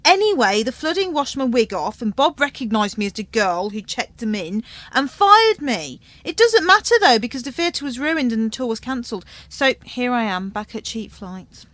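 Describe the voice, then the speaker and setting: A highish, very animated voice plays a starstruck check-in girl. She is chatty and melodramatic, always a little sorry for herself, in mock-documentary comedy style. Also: moderate pace, few pauses, no echo. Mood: aggrieved. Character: self-pitying, starstruck female airport check-in girl. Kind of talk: radio comedy acting.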